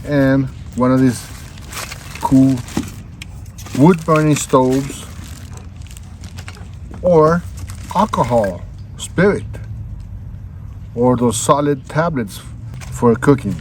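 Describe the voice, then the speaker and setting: A man's voice: medium pitch, drawn-out words, pauses often, darkish tone, a little hiss or hum. A man hosting a casual unboxing vlog, chatting to viewers in a folksy, slangy, laid-back way. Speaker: vlog host.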